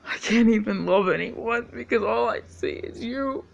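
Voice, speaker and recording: A man's voice: very high voice, moderate pace, creaky, full character voice. An actor playing a tormented man who hears his mother's voice, cursing and talking to her as if she were in the room. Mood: bitter. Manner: anguished, cursing, talking to someone absent. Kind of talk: dramatic monologue.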